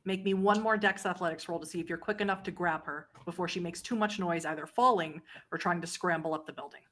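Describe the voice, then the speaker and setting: A woman speaking as game master, narrating a tabletop horror roleplay game in a measured, descriptive way. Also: on the low side, a bit bright.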